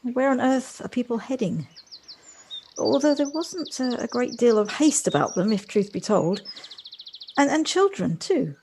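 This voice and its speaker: Higher-voiced, polite, hesitant city woman played by an actress. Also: animated, unhurried, a little mellow. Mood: puzzled. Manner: hesitant, formal, wordy. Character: anxious, polite London woman newly arrived in the countryside. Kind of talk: audio play acting. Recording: home recording on personal device, noisy.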